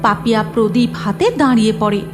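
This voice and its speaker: A woman narrating a storybook with expressive, sing-song storytelling. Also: a little high, fast. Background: background music.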